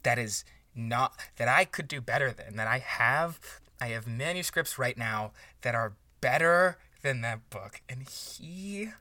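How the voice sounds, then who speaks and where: Slow, sharp-voiced bitter male writer. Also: mid-range voice, animated, no echo. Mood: bitter, indignant. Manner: agitated, stammering, sarcastic, overdramatic. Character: bitter, insecure aspiring male writer jealous of his rival. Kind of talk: improvised comedy audio drama.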